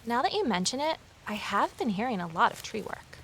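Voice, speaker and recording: Inquisitive higher-voiced woman investigator, noisy ambience. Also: big pitch swings, a little fast, a bit bright. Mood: alert, intrigued. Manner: inquisitive, conversational. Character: curious female ex-journalist, eager new conservation worker. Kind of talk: audio drama dialogue and first-person narration. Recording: clear background noise, outdoor ambience.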